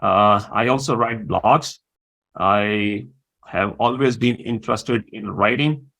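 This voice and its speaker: Man, Urdu speaker, fry in the voice, hesitant delivery, interview guest. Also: on the low side, a bit bright, low-quality recording. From Pakistan. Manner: halting, many pauses and fillers. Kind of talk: interview conversation.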